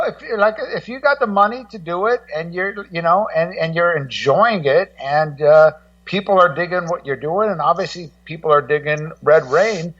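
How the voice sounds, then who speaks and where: A middle-aged American man talking casually as a guest co-host in a radio discussion, in a rambling, halting way full of "you know". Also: high-pitched, recorded from a distance, muffled recording. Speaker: guest co-host and rock music journalist.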